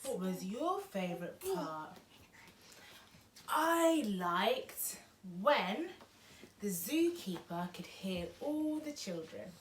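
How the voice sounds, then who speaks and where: Very slow, sing-song woman reading aloud. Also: mid-range voice, sing-song, slight background noise. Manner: sing-song, drawn-out delivery for small children. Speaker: children's read-aloud host. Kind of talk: expressive picture-book read-aloud.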